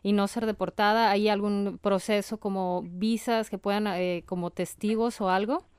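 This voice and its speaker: A woman hosting a radio interview, asking measured, clear questions. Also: medium pitch, fairly even intonation, a little mellow, no room sound.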